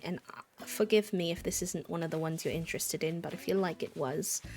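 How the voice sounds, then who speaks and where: A woman's voice: fairly low pitch, gravelly. A woman streaming a game, chatting casually and greeting viewers by name. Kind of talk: casual livestream chat while gaming.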